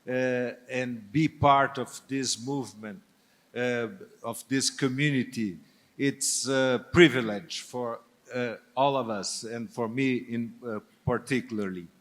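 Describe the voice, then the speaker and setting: An older man with a Portuguese accent, a native Portuguese speaker, speaking as a guest on a stage panel in a halting, hesitant way with many restarts. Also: mid-range voice. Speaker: business leader guest.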